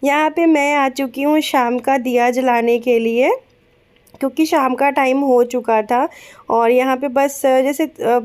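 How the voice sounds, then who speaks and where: A young woman from Bihar, raised in Kolkata, narrating her casual family vlog softly and gently because of a sore throat, chatty and unhurried with many fillers. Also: high voice, fairly even intonation, clean tone. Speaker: vlogger.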